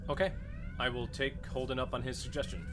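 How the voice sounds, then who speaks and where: A man's voice: medium pitch, fairly quick. A man playing a tabletop game, casually working out a plan with the other players. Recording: clear background noise.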